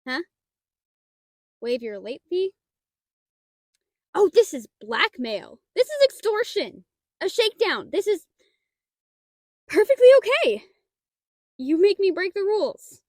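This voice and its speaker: A woman playing a shy, nerdy young half-dragon librarian in an audio roleplay, flustered and halting, bookish and given to theatrical indignation. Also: very high voice, sing-song, dry recording, clean studio sound, heavily acted. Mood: outraged. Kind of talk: audio roleplay acting, addressed to the listener.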